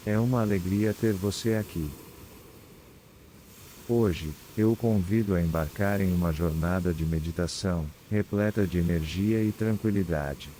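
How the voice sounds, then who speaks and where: A man with a Brazilian accent guides a scripted meditation in a calm, soothing, unhurried manner with long pauses. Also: sits low, slight background noise. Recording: clear background ambience of ocean waves. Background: sea waves ambience.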